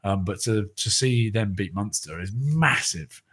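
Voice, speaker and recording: Low-voiced man chatting casually about rugby. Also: measured pace. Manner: relaxed, rambling, trails off with fillers. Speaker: podcast co-host.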